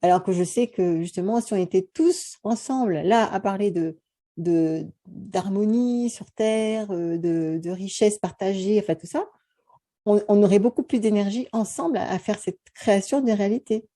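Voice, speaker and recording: A woman from France hosts a casual interview and speaks earnestly and at length. Her voice is very mellow and dark, at a middle pitch. She fills her talk with "euh" and "voilà". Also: moderate pace. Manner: earnest, talkative, many fillers. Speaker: host. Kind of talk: casual conversation.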